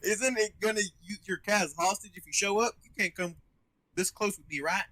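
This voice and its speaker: A man's voice: high-pitched, a little fast, bright, forceful tone, no room sound, low-quality recording. A man voicing a brash, casual teenage boy devil hunter in an amateur anime fan dub. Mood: reluctant.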